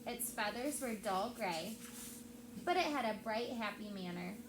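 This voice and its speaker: A woman's voice: medium pitch, lively intonation, a little slow. A woman teacher reading aloud expressively to a class and prompting pupils with questions. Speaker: elementary school teacher. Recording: echoey room with clear background noise.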